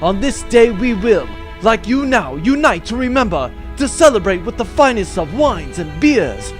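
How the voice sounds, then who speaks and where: A man's voice: sits high, big pitch swings, moderate pace. A pompous, easily distracted space captain, acted by a man, in a comic sci-fi radio play. He speaks in grand heroic speeches that wander into rambling, with music playing underneath. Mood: grandly rousing. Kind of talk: comedic sci-fi audio drama acting.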